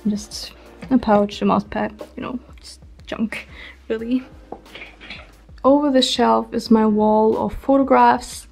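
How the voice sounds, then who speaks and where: A young woman in her twenties, chatting casually and rambling with many ums as she tours her home art workspace, her voice a bit hoarse from being ill. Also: mid-range voice, dark tone. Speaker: artist vlogger. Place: small room at home.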